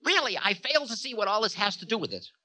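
A man's voice: high-pitched, big pitch swings, quick pace, bright, forceful tone, pitched above the performer's own voice, full character voice. A man voicing Time as a cruel, indignant spirit of doom in a dramatic telling of a myth. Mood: prim, indignant. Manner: indignant, ominous pronouncements. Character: Time personified, cruel, prim, menacing male spirit of doom. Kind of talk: dramatic mythological storytelling.

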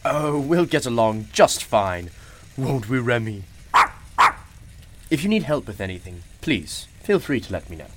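A performer in a radio play playing a courteous, gently probing keeper of a mysterious magical shop. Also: mid-range voice, big pitch swings, unhurried, noisy background. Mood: welcoming, knowing. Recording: music playing behind the voice. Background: music.

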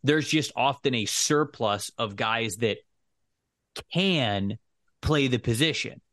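A man's voice: medium pitch, lively intonation, takes it slowly, fairly bright tone, no room sound, studio quality. A man co-hosting a sports podcast, talking through football analysis casually and explaining his points with careful clarifications.

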